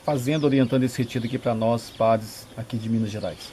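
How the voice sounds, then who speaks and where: A man's voice: mid-range voice, steady tone, fast talker, dark tone, slight background noise. A man, a priest, courteously asking an interview guest questions. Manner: courteous, leading questions ending in "né?".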